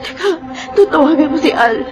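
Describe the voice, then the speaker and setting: A woman's voice: expressive, fairly quick. An actress playing a loving, emotional pregnant young wife and mother in a Filipino radio soap opera. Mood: frantic, crying. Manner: highly emotional, tearful delivery. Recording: dramatic music under the voice.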